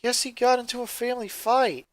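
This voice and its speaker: A woman's voice: fairly high pitch, fairly even intonation, brisk, dry recording, pitched above the performer's own voice, close to an everyday voice. A woman voicing a worried, kindly seventeen-year-old boy in a story reading. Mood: subdued concern. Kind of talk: fan fiction character voice.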